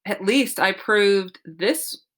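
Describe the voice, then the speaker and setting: A woman voicing an excitable, competitive, space-mad boy in a children's storybook, eager and exclamatory. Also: medium pitch, slow pace. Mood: wry.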